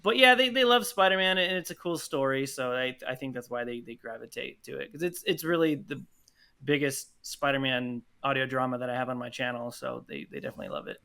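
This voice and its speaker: A man in his thirties with a bright, forward voice at a middling pitch. He speaks conversationally, as a guest would on a relaxed podcast. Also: a little fast, vocal fry, clear, resonant tone. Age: 30s. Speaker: podcast guest, audio drama producer. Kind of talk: casual chat.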